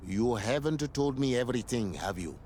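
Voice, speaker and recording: An actor playing a courteous, perceptive native clansman in a game, with formal, measured, poetic phrasing. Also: mid-range voice, lively intonation, darkish tone, slight background noise, close to an everyday voice. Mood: calm, caring. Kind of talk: game cutscene acting.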